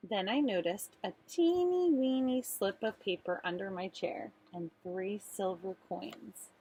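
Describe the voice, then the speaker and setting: A woman's voice: mid-range voice, unhurried, warm tone, a little hiss or hum, low-quality recording. A woman teacher reading a children's storybook aloud expressively, speaking directly to young listeners.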